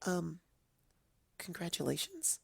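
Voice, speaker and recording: A woman voicing a timid, kindly female cartoon pony with a soft, sweet manner. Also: fairly low pitch, big pitch swings, takes it slowly, creaky, husky, no room sound, clean studio sound, voice pushed up, heavily acted. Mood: hesitant.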